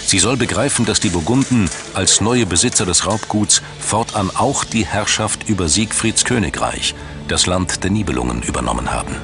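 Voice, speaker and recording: Male documentary narrator, very deep, measured and dramatic, music bed underneath. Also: animated. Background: background music.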